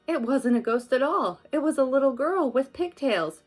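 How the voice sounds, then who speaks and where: A young woman and mother reading a children's book aloud and then chatting gently with her child about it. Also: high-pitched, normal speed, soft background music. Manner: gentle, expressive, talks to the child.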